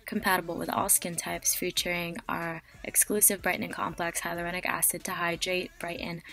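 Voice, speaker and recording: A young woman in her twenties, slightly hoarse from a cough, chatting casually through a product review into a close webcam in a small bedroom. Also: fairly low pitch, flat delivery, fairly quick, pushed and bright. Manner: chatty, fillers like "like". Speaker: beauty vlogger. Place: small dim bedroom, indoors. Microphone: webcam at close range.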